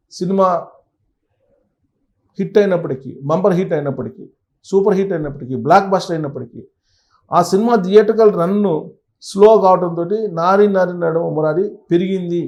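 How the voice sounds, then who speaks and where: A man's voice: high voice, darkish tone. A man presents conversational news commentary and analysis, stressing points emphatically and repeating phrases. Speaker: presenter of a film news channel.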